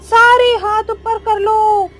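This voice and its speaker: A woman's voice: very high-pitched, flat delivery, takes it slowly, music in the background. A woman voicing the vengeful ghost of a strict schoolteacher in a horror story, scolding and menacing. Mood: scolding, threatening. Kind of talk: horror cartoon story acting.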